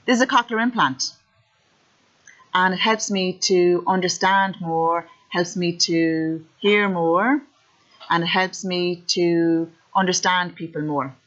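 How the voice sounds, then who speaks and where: A woman's voice: on the low side, fairly even intonation, slow pace, fairly bright tone. Woman, Irish accent, plain direct delivery, first-person testimony, dull lo-fi recording. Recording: dull lo-fi audio.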